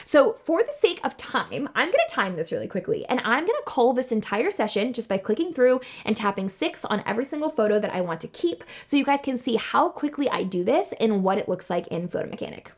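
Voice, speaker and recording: A young woman talking as a photography educator, explaining her workflow in an upbeat, chatty way from a bright home studio. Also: a little high, big pitch swings. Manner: upbeat, chatty, fast with fillers like "like" and "um". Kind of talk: casual tutorial talk to camera.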